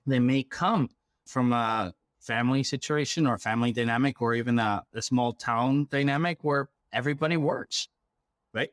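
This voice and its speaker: A man's voice: medium pitch, moderate pace, a bit bright, dry recording, clean studio sound. A man from Ecuador explaining things conversationally as an interview guest, often checking in with the listener. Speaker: interview guest, refugee program worker.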